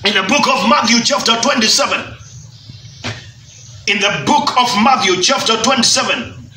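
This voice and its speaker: A middle-aged Kenyan man preaching a shouted Easter sermon, stretching his key words as he builds. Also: very high-pitched, moderate pace, far from the mic, slight background noise. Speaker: pastor. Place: church stage, indoors. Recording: echoey room from afar, music behind.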